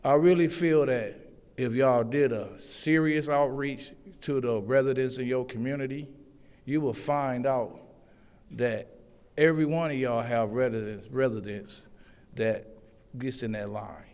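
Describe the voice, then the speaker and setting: Loud, earnest man, public commenter pleading with the council; smooth, resonant voice in a reverberant chamber. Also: mid-range voice, unhurried. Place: echoey city council chambers. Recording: long hall reverb.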